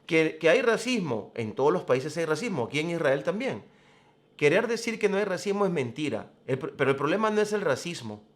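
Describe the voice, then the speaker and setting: A man hosting a programme, giving commentary in a deliberate, emphatic, explanatory way. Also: on the high side, sing-song. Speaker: host of a religious current-affairs programme. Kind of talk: spoken commentary to camera.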